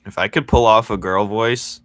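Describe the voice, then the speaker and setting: A man chatting casually with his viewers while he draws, playful and quick to laugh as he banters with them. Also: fairly low pitch, fairly even intonation, fairly bright tone, no room sound. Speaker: streaming artist. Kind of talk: casual chat while drawing.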